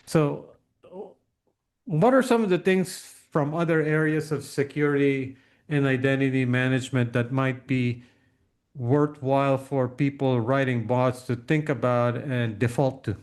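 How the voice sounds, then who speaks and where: A man's voice: medium pitch, fairly even intonation, measured pace, a little mellow. A man hosting a casual podcast interview, thinking aloud tentatively as he puts questions to his guest.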